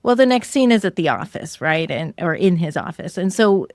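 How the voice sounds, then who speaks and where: A woman's voice: on the low side, animated, moderate pace, no room sound, clean studio sound. A woman hosting a podcast, chatting casually as she interviews a guest.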